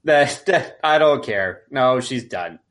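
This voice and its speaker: A man's voice: medium pitch, animated, slow, a bit bright. A man co-hosting a podcast, casually chatting about a film with wry, incredulous retelling. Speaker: podcast co-host. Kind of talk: casual film review chat.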